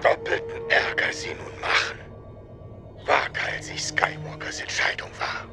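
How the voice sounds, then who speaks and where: Ein Mann spielt einen kleinen, alten, weisen außerirdischen Meister mit verdrehtem Satzbau im Hörspiel. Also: male voice, measured pace, music in the background, close to an everyday voice. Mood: brooding. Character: wise old small male alien Jedi master, inverted syntax.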